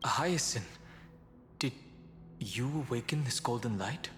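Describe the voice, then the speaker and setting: An actor playing a solemn, composed male hero in a fantasy game, grave and measured, in long reverb over music. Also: mid-range voice, expressive. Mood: solemn wonder. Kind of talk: dramatic fantasy game dialogue.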